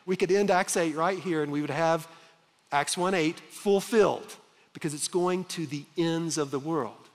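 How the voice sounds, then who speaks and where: A man in his fifties preaching a sermon from a church stage, earnest and emphatic, with slow dramatic pauses. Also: on the high side. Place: large church stage with reverb. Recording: long hall reverb.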